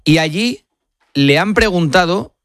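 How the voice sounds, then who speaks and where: A man with an accent from Spain hosting a live sports radio show, speaking deliberately with hesitant pauses into a studio microphone. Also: high voice, lively intonation, a bit bright, no echo. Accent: Spanish from Spain. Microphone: studio broadcast microphone.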